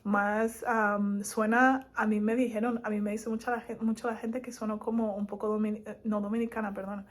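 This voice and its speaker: A young woman from Spain with a Madrid accent, talking casually to camera as a vlogger, animated and quick to laugh. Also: medium pitch. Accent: Madrid Spanish. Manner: animated and laughing, lively asides.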